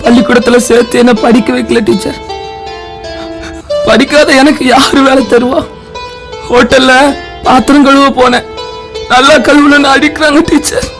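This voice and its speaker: Woman as a high-voiced, pleading poor boy. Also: normal speed, close to an everyday voice. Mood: miserable. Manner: pleading, plaintive. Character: hungry, poor, pleading little street boy puppet. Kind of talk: children's puppet show acting. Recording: music laid under the voice.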